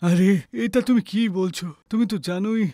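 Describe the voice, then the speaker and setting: An actor playing an anxious, henpecked husband who pleads in a flustered way in a cartoon story. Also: male voice, sits high, fairly quick, mellow, no echo, studio quality, lightly acted. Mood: anxious pleading. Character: nervous henpecked village husband, anxious to placate. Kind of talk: animated story dubbing.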